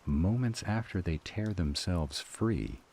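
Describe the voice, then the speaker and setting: A man playing a formal, measured supernatural counsel, cautious and grave, in an audio roleplay drama. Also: low voice, animated, darkish tone, slight background noise, exaggerated voice. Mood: grave warning.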